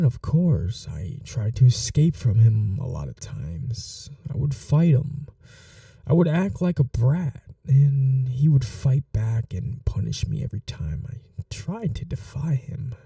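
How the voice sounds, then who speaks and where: A man voicing a bitter, possessive young male vampire in an ASMR audio roleplay, speaking softly and confessionally and sometimes dropping to a whisper. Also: mid-range voice, creaky, dry recording, recorded from a distance, heavily acted. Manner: slow, soft-spoken, confessional, drops to whispers.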